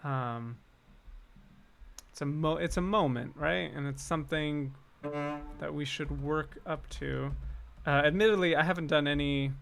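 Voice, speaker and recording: A man's voice: on the high side, unhurried, creaky, smooth. A man hosting a casual composing livestream, thinking aloud and chatting to viewers with self-deprecating asides. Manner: musing, self-deprecating asides, talks to chat. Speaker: music composer and streaming host. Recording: loud cello and piano music behind. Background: cello and piano music being composed.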